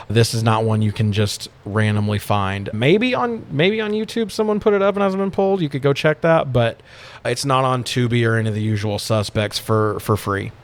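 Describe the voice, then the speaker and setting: A man with a clean, resonant voice at an average male pitch. He co-hosts a podcast and talks in an easygoing, conversational way. His wording is informal, with the odd swear word. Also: lively intonation, moderate pace. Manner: informal, occasionally profane. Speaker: podcast co-host. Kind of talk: casual podcast chat.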